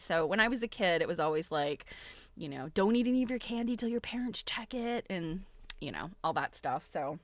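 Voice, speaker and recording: A woman's voice: fairly low pitch, dry recording. A middle-aged woman hosting a casual livestream, chatty and giggly, often breaking into exclamations. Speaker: livestream host.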